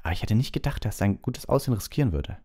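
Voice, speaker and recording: A man's voice: fairly low pitch, expressive, racing, a little mellow, husky, pitched above the performer's own voice. A man voicing a scornful, gossipy teenage schoolboy with a contemptuous way of talking, in an audiobook.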